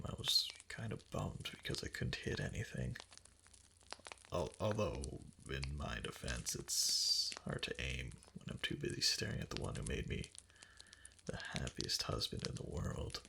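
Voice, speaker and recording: A man playing a doting, obsessively devoted fox-spirit husband in an intimate roleplay ASMR, speaking softly and tenderly in breathy, halting endearments. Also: extremely low pitch, noisy background, full character voice. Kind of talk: intimate roleplay ASMR, soft and partly whispered.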